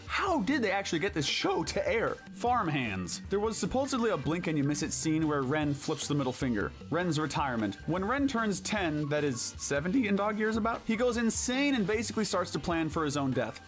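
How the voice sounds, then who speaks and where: Man, higher voice, animated scripted narration, wry and sardonic, music underneath. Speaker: narrator of a countdown video. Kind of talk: scripted video-essay narration.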